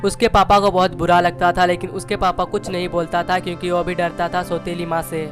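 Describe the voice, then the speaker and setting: A woman's voice: on the low side, flat delivery, brisk. A woman reading a scripted children's story as its narrator. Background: music.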